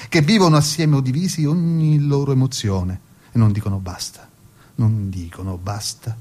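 A man's voice: mid-range voice, darkish tone. A man reading his own poem as a guest, earnest and incantatory in delivery. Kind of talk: poetry recitation.

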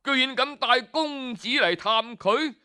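Man voicing a scornful, sharp Cantonese palace guard. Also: extremely high pitch, slow pace, smooth, voice pushed up, full character voice. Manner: grumbling, scornful, brash. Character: indignant, haughty male palace guards.

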